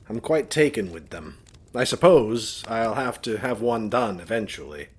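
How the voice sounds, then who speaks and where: Casting: earnest, courteous gentleman heir, expressive intonation, slightly rough mid-range voice, audio drama. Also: lowish voice, unhurried. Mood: genial, wry. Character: Sir Henry, polite, anxious gentleman heir.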